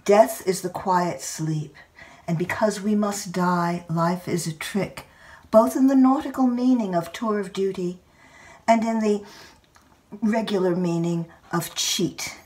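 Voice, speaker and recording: An older woman reads a literary personal essay aloud in a measured, expressive storytelling manner. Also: medium pitch, distant mic. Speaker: writer reading own essay.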